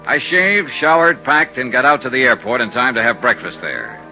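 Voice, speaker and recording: Casting: male actor for tough American insurance sleuth, 1950s radio serial, very animated pitch, dry pressing delivery, dull vintage recording. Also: moderate pace, faint music behind, close to an everyday voice. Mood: brisk narrating. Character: tough, wry freelance male insurance investigator. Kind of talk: 1950s radio detective acting.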